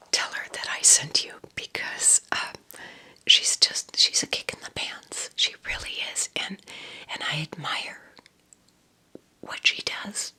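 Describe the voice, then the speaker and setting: A woman whispering casual ASMR recommendations in a breathy, husky voice, with an unhurried, affectionate manner. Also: low voice, bright, forceful tone. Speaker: ASMR content creator.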